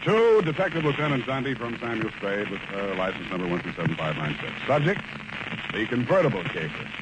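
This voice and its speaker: An American actor playing a wry, flirtatious hard-boiled private detective in 1940s radio drama style. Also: male voice, on the low side, big pitch swings, a little fast. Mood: wry narrating. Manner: wry, sardonic. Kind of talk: 1940s radio detective acting with first-person narration. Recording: noisy old-time radio recording.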